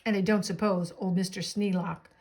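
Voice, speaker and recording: A woman reading a storybook as an eager, boastful young boy playing circus showman, proclaiming excitedly in rhyme. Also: mid-range voice, moderate pace, a little hiss or hum, close to an everyday voice. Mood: grand, excited.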